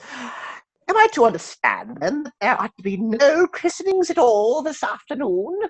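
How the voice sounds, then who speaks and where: A woman playing a fussy, genial, pious elderly clergyman in a comic play reading, with an effusive, deliberate manner. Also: a little high, big pitch swings, breathy edge, no room sound, full character voice. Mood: eager.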